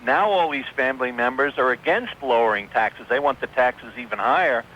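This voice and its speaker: A man's voice: medium pitch, big pitch swings, brisk, sharp tone. A middle-aged American man calling in to a radio show, effusive and eager as he talks. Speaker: radio caller. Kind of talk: conversational phone-in commentary. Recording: dull, noisy radio phone-in.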